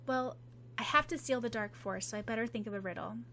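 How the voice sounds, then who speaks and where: A woman voicing a kind, determined young girl who speaks quickly and earnestly. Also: a little high, bright, forceful tone, a little hiss or hum.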